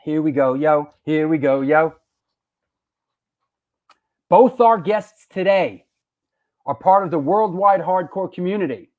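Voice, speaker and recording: A high-pitched man hosts a live online show. He talks loosely and jokes around, stumbling over and repeating his words. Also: animated, slow, pauses often, phone-quality sound. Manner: loose, joking, repeats himself. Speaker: host.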